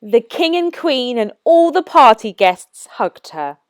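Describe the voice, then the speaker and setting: A woman reading aloud as a children's storytime narrator, with expressive, careful and deliberate phrasing. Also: on the high side, no echo, clean studio sound. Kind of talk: scripted storybook read-aloud for young children.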